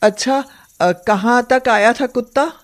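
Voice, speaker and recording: A woman's voice: a little high, measured pace, voice pushed up, lightly acted. A woman voicing a middle-aged, pious and suspicious mother-in-law with a commanding manner in a moral story. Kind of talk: acted moral story dialogue.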